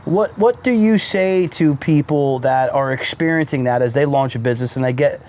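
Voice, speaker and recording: A man's voice: medium pitch, moderate pace, a little mellow. A man hosting a casual fireside interview, chatting along and asking long, winding questions. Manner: conversational, agreeing often, asking long questions. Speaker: podcast host. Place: outdoors by a fire pit.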